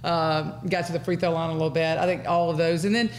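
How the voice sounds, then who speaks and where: Middle aged american lady coach at a presser, gravelly, halting, bit of room noise. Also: deep voice, little pitch movement. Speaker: basketball head coach. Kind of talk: post-game press conference answers. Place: indoor press conference room. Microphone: table microphone.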